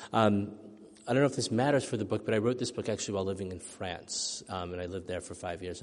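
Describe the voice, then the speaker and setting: Middle-aged man, author at a reading, low and even voice with lingering hall echo. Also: slight background noise. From United States. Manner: thoughtful, soft-spoken with many ums and restarts. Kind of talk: reading then answering audience questions. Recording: long hall reverb.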